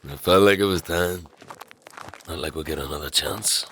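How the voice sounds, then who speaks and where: An actor playing an awkward, wry fantasy fighter in naturalistic audio drama dialogue, hesitant and dry-humoured. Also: male voice, deep voice, moderate pace, a bit bright. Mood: casual, wry. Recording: crowd ambience, faint background noise.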